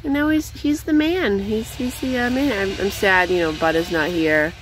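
A young woman chatting casually while she feeds her backyard chickens, rambling and cooing at them in a high voice, recorded on a handheld phone outdoors. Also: moderate pace, slight background noise, rough recording. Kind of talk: casual off-the-cuff vlog chat.

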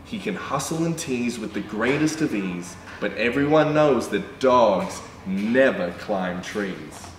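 A young man reading a picture book aloud to children as a storytime presenter, in an animated, exclaiming manner. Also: on the low side. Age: young adult. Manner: animated, exclaiming, with excited gasps and asides. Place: indoor room with painted backdrop. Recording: roomy, distant mic, background noise.

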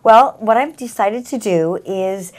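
A woman's voice: medium pitch, a little slow, a little mellow. A middle-aged woman, a salon owner, talking conversationally as a guest in a talk-show interview. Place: small TV talk-show set indoors.

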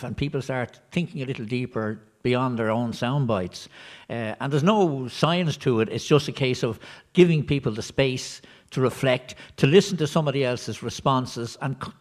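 A middle-aged man answering a question on a conference panel in a function room, reflective and hesitant with restarts. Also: medium pitch. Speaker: panel member for community radio.

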